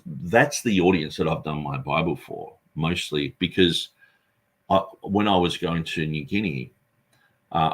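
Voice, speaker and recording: Male street preacher in an interview, low husky voice, earnest and rambling, lots of restarts. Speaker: street preacher and Bible translator. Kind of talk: conversational interview answer.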